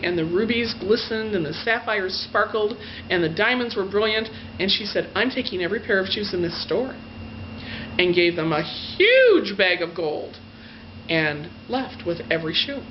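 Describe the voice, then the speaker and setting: A woman's voice: medium pitch, animated. A woman storyteller telling a fairy tale in her own words, gushing and stretching out the descriptive words. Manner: gushing emphasis, drawn-out adjectives. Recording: dull lo-fi sound with faint noise.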